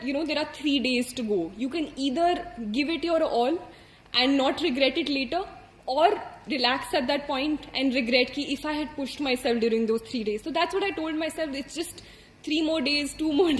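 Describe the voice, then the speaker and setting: A woman's voice: high voice, echoey room. A young woman in her twenties from India with an Indian English accent, earnestly sharing exam preparation advice with many fillers and restarts. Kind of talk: reflective advice talk.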